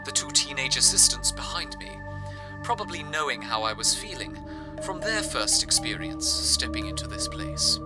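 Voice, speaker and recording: A man playing an earnest, awed broadcaster from a fantasy city, narrating a scripted radio drama in a measured, wistful way. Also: medium pitch, very animated, over loud music, lightly acted. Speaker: radio host.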